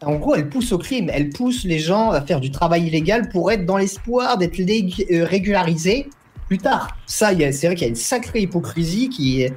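A man's voice: high voice, lively intonation, moderate pace, soft background music. A man commentating casually on a live stream, chatty with crude asides. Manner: chatty, drops into asides and swears.

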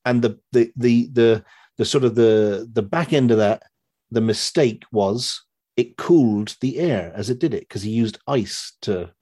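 A man with a husky, slightly rough voice and a middle pitch. He chats casually as a podcast guest, rambling through his stories with animated, loose delivery. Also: unhurried. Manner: rambling, animated storyteller, swearing. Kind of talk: casual chat, retelling a story.

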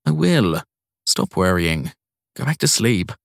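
A man's voice: on the low side, big pitch swings, takes it slowly, gravelly, no room sound, studio quality, heavily acted. A man playing a guarded husband who speaks briefly and reassuringly in audiobook dialogue.

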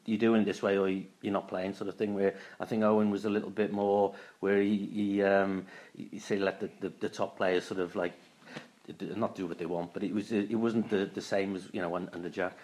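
Soft-spoken man with flat, low delivery. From Wales. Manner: halting, many restarts and fillers. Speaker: guest, former footballer. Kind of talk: casual interview chat.

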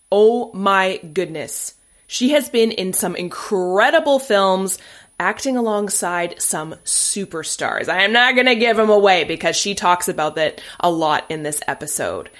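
An upbeat woman hosts a casual interview podcast from her home studio, gushing freely about her guest. Her voice sits at an ordinary middle pitch, and she talks in a relaxed, natural way. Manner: bubbly, effusive. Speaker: podcast host.

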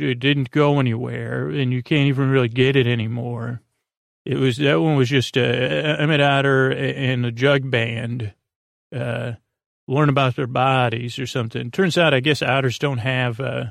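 Man, creaky voice, rambling bedtime monologue, halting, repetitive, trailing sentences, dry sound. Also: medium pitch, no room sound. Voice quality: creaky, gravelly. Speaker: podcast host.

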